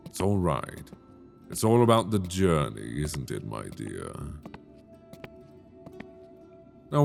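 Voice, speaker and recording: A possessive dragon king, played by a man purrs in a very deep, gravelly voice. He speaks slowly and softly, teasing with lively swings of pitch. This is a binaural ASMR roleplay with faint music behind him. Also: heavily acted. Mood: coaxing. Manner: slow, intimate, teasing, soft-spoken.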